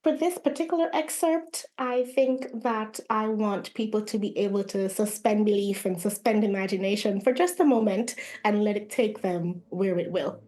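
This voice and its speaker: A woman with a medium-pitched voice is interviewed as a playwright. She tells her own story in an expressive, conversational way, sometimes voicing quotes. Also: moderate pace, hardly any pauses. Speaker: guest playwright.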